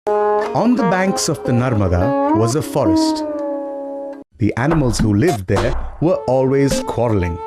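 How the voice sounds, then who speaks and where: A man's voice: medium pitch, sing-song, unhurried. A man narrating a scripted story for children. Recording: loud music behind voice.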